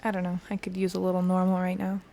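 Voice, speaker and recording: A woman's voice: fairly low pitch, monotone, fast, gravelly, warm tone. An actress playing a troubled, guilt-ridden young woman, speaking in a subdued, hesitant way in an audio drama. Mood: subdued, wry. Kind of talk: naturalistic dramatic dialogue.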